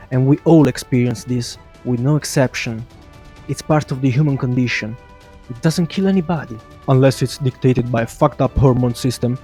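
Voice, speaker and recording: A man's voice: mid-range voice, mellow, husky. A man narrating a scripted video essay in a brash, opinionated way, swearing casually and addressing viewers directly. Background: music.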